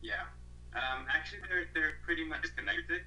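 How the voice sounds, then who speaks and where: A man's voice: fairly high pitch, animated, moderate pace, sharp tone, a little hiss or hum, low-quality recording. A man in his thirties from Malaysia, a guest on an interview over a video call, talking thoughtfully with many fillers and restarts.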